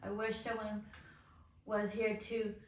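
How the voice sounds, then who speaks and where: A woman's voice: mid-range voice, completely flat delivery, slow, slight background noise, close to an everyday voice. A woman voicing a plucky young orphan girl in an amateur musical table read. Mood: self-pitying. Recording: roomy, slightly distant amateur recording.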